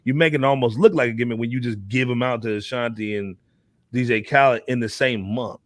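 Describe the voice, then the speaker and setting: A man's voice: mid-range voice, steady tone, a little fast, no room sound, studio quality. An American man hosting a podcast, chatting casually about hip hop in slangy, conversational speech. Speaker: podcast host.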